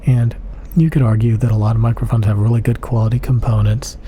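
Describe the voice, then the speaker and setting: A man talking straight into a close studio mic in a quiet home recording room. His talk is emphatic and repetitive, with long strings of adjectives and some stretches dropping into a whisper. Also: mid-range voice, little pitch movement, quick pace, slight background noise. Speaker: audio gear reviewer. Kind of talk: casual spoken monologue. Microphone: close-up studio condenser mic.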